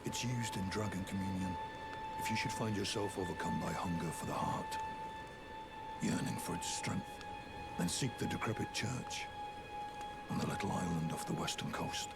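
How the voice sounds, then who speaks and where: Under loud music, a solemn older warrior of a fantasy game, very low and gravelly. He speaks formally and with measure, as if every word carries a grave warning. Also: normal speed. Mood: solemn, approving. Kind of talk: scripted fantasy video game dialogue.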